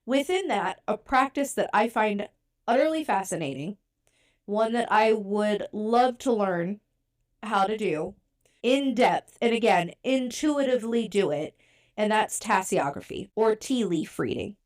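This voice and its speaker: A woman's voice: medium pitch, lively intonation, a little slow. A woman co-hosting a podcast, born in Europe and raised in the United States, talking in a chatty, candid, self-deprecating way. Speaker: podcast co-host. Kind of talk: casual podcast talk.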